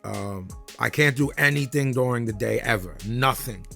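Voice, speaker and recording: A man with a gravelly, creaky voice talks candidly about himself as a recording artist. He is halting and blunt, thinking aloud, with faint music underneath. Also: mid-range voice, fairly bright tone. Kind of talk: candid interview talk.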